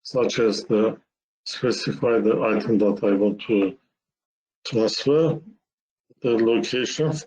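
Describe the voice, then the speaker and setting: Slow-paced man demonstrating software. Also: fairly low pitch, poor audio. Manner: halting, step-by-step explaining in short phrases. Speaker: software presenter.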